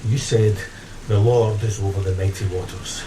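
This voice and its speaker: An actor playing a rattled, rationalist ship's officer who speaks in a clipped, emphatic, pedantic way in a horror audio drama. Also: male voice, lowish voice, fairly even intonation, normal speed, very noisy, close to an everyday voice. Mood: accusing. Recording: echoey cabin, rain and thunder behind.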